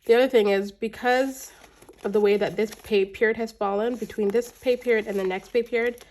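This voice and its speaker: A woman's voice: a little high, steady tone, fairly quick, darkish tone. Congested chatty woman vlogger. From Canada. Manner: chatty, rambling, frequent restarts and ums. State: congested, slightly raspy from a cold. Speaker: budgeting vlogger. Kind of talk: casual chat to camera.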